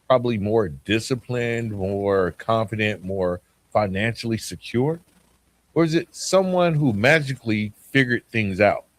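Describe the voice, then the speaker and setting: A man hosting a motivational podcast monologue, speaking in a deliberate, emphatic way and putting questions to the listener. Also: fairly low pitch. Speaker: podcast host.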